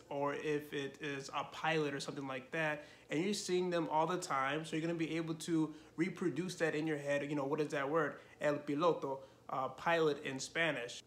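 Guy in his 30s on his couch talking language tips into the camera, lively, lotta "you know"s, slightly higher voice. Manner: animated, gestures a lot, frequent fillers like "you know". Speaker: Spanish learning channel host. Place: living room, seated on a couch.

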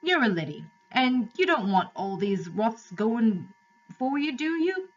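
A woman voicing a rough but kindly male London cabby with a Cockney accent and an earnest, plain-spoken manner. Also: on the high side, big pitch swings, normal speed, bright, forceful tone, far from the mic, lo-fi sound, soft background music, pitched above the performer's own voice, full character voice. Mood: coaxing.

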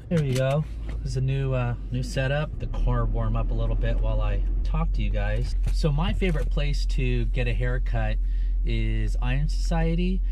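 A man's voice: medium pitch, mellow, slight background noise. A man in his forties talking casually to a camera mounted on his car dashboard, chatting about his day. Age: 40s. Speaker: vlogger. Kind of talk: casual vlog talk to camera. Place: inside a parked car, then at home.

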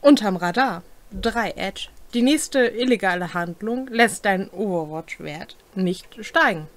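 A woman's voice: mid-range voice, lively intonation, measured pace, no echo. A young woman co-hosting a casual chat, reading out and commenting on game rules. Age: young adult. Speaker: co-host presenting game rules. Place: small cluttered home room.

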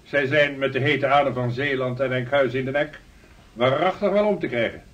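An actor playing a shrewd, insistent gentleman planning a voyage in a period radio drama, formal and emphatic. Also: medium pitch, fairly quick, distant mic, muffled recording, natural voice.

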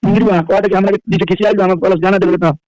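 A man's voice: high voice, fairly even intonation, fast talker, a little mellow, no room sound. A man with a Bhojpuri accent griping coarsely in a casual recorded phone call.

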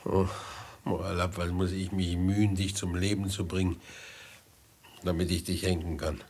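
Casting: a chatty executioner, lowish and slow, doting on the condemned man with macabre glee, vintage radio play. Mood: grumbling, coaxing. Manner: effusive, cajoling, theatrical. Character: gleeful, chatty hangman fussing over his condemned prisoner. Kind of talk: 1950s German radio play acting.

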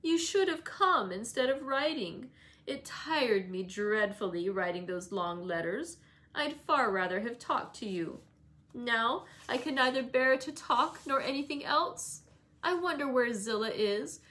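A woman voicing a sickly, peevish young invalid man who whines fretfully and slowly. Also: fairly high pitch, expressive, a bit bright, voice pushed up. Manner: whining, fretful, slow and plaintive.

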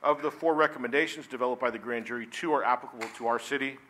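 Man in his 40s, police chief, brisk formal briefing at a desk mic, bright edge. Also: medium pitch, a little hiss or hum. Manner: formal, reads from notes with many "uh"s. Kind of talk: formal report at a council meeting. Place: council chamber, seated at a desk. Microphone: desk microphone.

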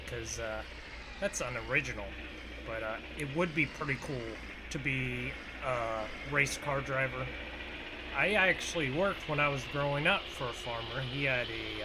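A man improvising farmer roleplay for a gaming video, rambling in a folksy way as he thinks aloud. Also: medium pitch. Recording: noisy, vehicle engine sounds behind voice. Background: game engine and vehicle sounds.

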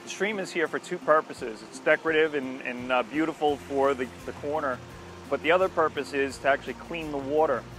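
An older man giving an informal, unscripted explanation to camera outdoors, speaking in a halting, explanatory way. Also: fairly high pitch, fairly bright tone, rough recording. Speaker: landscape company presenter. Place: outdoors at a street corner by a station. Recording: music behind the voice, outdoor recording. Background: music.

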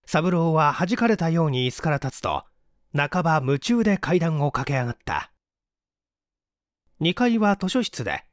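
A male audiobook reader narrates a story with lively intonation. His voice is slightly high for a man, and the recording is dry and close. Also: no room sound. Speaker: audiobook reader, stage actor. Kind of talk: dramatic scripted story narration.

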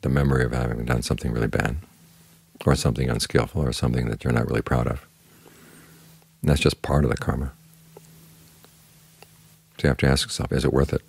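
A man giving a short spoken meditation talk as a Buddhist teacher, calm, instructive and plain-spoken. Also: a bass voice, gravelly, breathy edge.

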